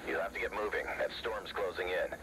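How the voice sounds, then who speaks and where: Mission commander for a shooter game male actor: authoritative, fast, low-pitched, vehicle noise behind. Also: steady tone, close to an everyday voice. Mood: brisk, serious. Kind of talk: military shooter game acting.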